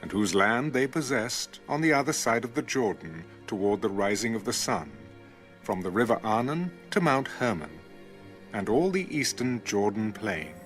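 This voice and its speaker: A man reading scripture as a scripted audio narration in a measured, formal way. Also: lowish voice, lively intonation, a bit bright. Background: background music.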